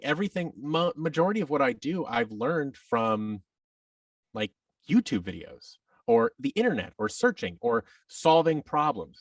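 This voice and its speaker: A man in his thirties speaks in casual interview conversation with a lively, swinging pitch and some gravelly fry. He rambles and gives firm opinions, a little way off the mic in a dry space. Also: no echo, far from the mic. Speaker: podcast guest, audio drama producer and actor.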